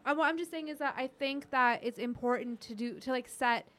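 A woman's voice: sits high, fairly quick, a bit bright. A woman with an American accent hosting a casual, opinionated podcast chat, speaking bluntly and swearing freely. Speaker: podcast host.

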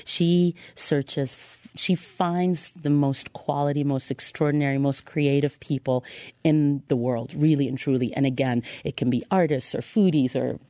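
Low, mellow-voiced woman telling her story. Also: steady tone, dry recording. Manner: halting, with repetition for emphasis. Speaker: podcast guest. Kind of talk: personal storytelling in an interview.

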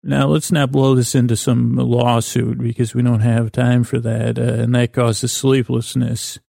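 A middle-aged man with an American accent and a creaky voice, hosting a sleep podcast with a halting, meandering bedtime monologue that keeps digressing. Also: mid-range voice, little pitch movement, dark tone, no echo, clean studio sound. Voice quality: creaky, gravelly.

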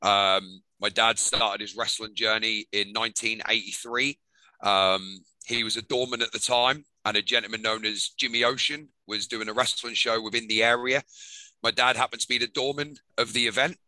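A man's voice: lowish voice, steady tone, moderate pace, sharp tone, dry recording, rough recording. An English man in his thirties from England, a wrestler telling his story candidly in a casual interview, with lots of ums. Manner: frequent ums, candid and chatty. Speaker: guest, professional wrestler.

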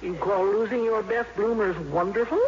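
A woman's voice: medium pitch, moderate pace, a little mellow, slight background noise, close to an everyday voice. An actress playing a plain-spoken, inquisitive old grandmother in a 1950s radio drama. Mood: wry. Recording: muffled vintage radio broadcast.

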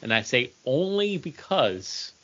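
A man's voice: medium pitch, very animated, takes it slowly, a bit bright. An American man in casual conversation as a podcast co-host. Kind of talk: casual chat. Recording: dull lo-fi voice recording.

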